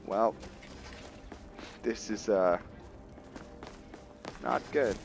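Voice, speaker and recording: Male gamer, low register, slow delivery, casual play-by-play with muttered, halting asides. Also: vocal fry, soft background music. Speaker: gamer hosting a roleplay playthrough. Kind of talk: casual game commentary, reading in-game text aloud.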